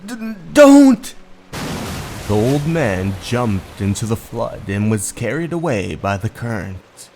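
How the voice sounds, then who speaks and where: A man narrating a scripted horror story in a theatrical, measured way. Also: on the low side, expressive, background noise. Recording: voice over background music.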